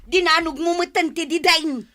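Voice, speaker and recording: Bright-voiced, plaintive wife, Ilocano accent. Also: female voice, very high voice, normal speed, no room sound. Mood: frightened, flustered. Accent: Ilocano Filipino. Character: long-suffering, complaining wife of a gambling husband. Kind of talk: radio comedy-drama acting.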